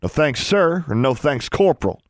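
Audiobook role: smug young cadet officer, played by a male narrator, commanding, condescending, varied pitch, dry sound. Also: wildly swooping pitch, a little fast, no room sound. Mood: barking. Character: smug, contemptuous teenage boy cadet officer.

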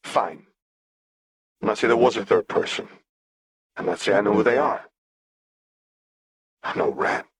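A man's voice: fairly low pitch, lively intonation, unhurried, long pauses, husky, dry recording, heavily acted. A man voicing a defiant, cocky young male rebel in an audiobook, with taunting, slangy delivery. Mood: smug.

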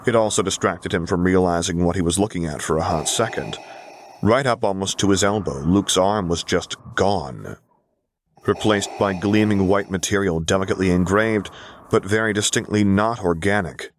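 Low-voiced man narrating fiction. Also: moderate pace. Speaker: audiobook narrator. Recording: audible background hiss, clicks.

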